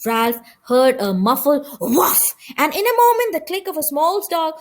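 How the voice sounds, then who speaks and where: A woman reading a children's story aloud as an audiobook narrator, with expressive delivery. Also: sits high, measured pace. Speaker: audiobook reader.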